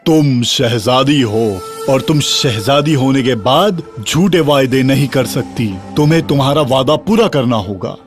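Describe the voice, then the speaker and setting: A man's voice: medium pitch, lively intonation, measured pace, lightly acted. Man acting a grave fatherly king, music underneath. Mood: stern. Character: grave, principled king, father of a young princess. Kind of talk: children's cartoon fairy-tale acting.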